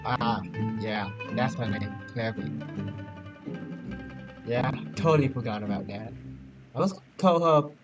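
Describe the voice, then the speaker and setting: A man's voice: mid-range voice, unhurried, pauses often, dull audio. A man streaming a game with casual, hesitant, musing commentary. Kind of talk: casual live playthrough commentary. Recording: loud game music over voice. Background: loud game music.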